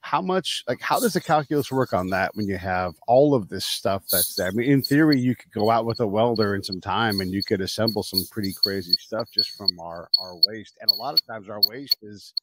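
A man's voice: fairly low pitch, expressive, fairly quick, hardly any pauses, noisy background. An American man hosting a podcast, talking in a casual, conversational interviewing style. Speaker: podcast host.

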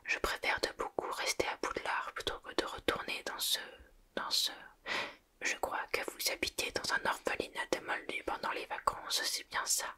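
A woman's voice: normal speed, bright, forceful tone, natural voice. A woman whispering the part of a polite, uneasy teenage boy wizard in an audiobook.

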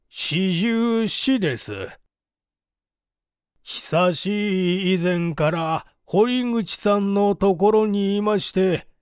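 A man playing a slow, humble middle-aged manservant. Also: high-pitched, darkish tone, clean tone, no room sound, studio quality. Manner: slow, halting, measured testimony. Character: humble, deferential 44-year-old village manservant giving testimony. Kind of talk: audiobook reading of a vintage mystery.

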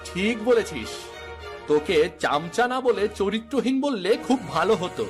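A rather high-voiced village man, acted out by a man for a folk-tale cartoon. The delivery is dramatic, like a staged village drama, with light music behind it. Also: big pitch swings, measured pace. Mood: indignant. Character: several village men in a folk-tale cartoon, quarrelling and pleading.

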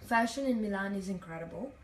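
A woman's voice: medium pitch, lively intonation, fast. A young woman from Italian-speaking Switzerland, whose first language is Italian, chatting casually on a livestream while sitting on a hotel bathroom floor and reading comments aloud. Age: young adult. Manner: chatty, reads comments aloud, many fillers. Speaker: livestream host. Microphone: phone held at arm's length, talking to a mirror. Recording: echoey tiled bathroom, phone mic at a distance.

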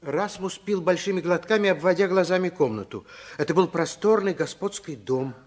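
A man narrating a children's radio drama as a storyteller, in a hushed, suspenseful way. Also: high-pitched, moderate pace.

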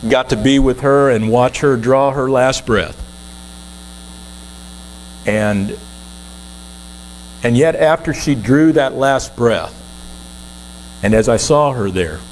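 A man's voice: medium pitch, slight background noise, poor audio. A man in his sixties, a guest minister, preaching an earnest, conversational sermon from the pulpit of a church.

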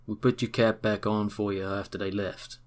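A brash young newsboy, played by a man, quick with a wisecrack and full of street slang. His voice sits in a mid range and is recorded plainly. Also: lowish voice, little pitch movement, natural voice. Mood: casual. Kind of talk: fan audio drama.

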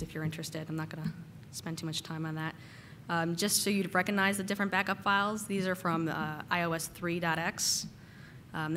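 A woman giving a casual technical conference talk, slightly hoarse from a cold and using lots of "uh" and "um" fillers. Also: low-pitched, a bit bright, a little hiss or hum. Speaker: conference speaker. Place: conference hall.

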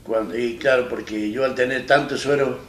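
A man in his fifties with an Argentine accent, telling his own story in a plain-spoken, halting way as an interview guest. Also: mid-range voice, fairly even intonation, a bit bright. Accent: Argentine Spanish. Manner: plain-spoken, halting and retelling with many restarts. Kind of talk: personal story in a studio interview. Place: small studio set, seated in armchair. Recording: roomy echo, distant mic, faint hiss.

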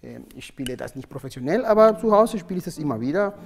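A man's voice: fairly high pitch, animated, fast talker, creaky, in a large hall. A man in his thirties from Venezuela, a native Spanish speaker with a Spanish accent, explaining in a friendly, hesitant way with many fillers. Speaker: orchestral oboist, guest. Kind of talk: casual explaining. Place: stage set, indoors.

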